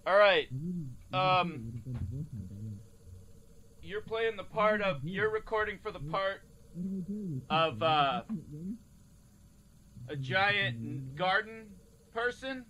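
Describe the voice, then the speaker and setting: Rambly casting director for a comedy game, high bright voice, takes his time. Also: lively intonation, takes it slowly, pauses often, heavily acted. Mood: offhand, businesslike. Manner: rambling, stumbling, repeats himself. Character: casual, halting casting director running game auditions. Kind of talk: improvised comedic game acting. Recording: noisy cassette-tape recording.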